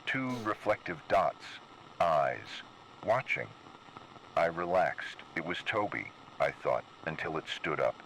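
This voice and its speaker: A man's voice: rumbling low, sing-song, slow pace, a bit bright, rough recording. A man narrating a scripted first-person horror story. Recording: clear background noise.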